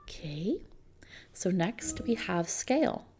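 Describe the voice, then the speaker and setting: A soft-spoken woman doing an ASMR roleplay as a consultant, explaining options to a client in an unhurried manner. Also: mid-range voice, sing-song, soft background music.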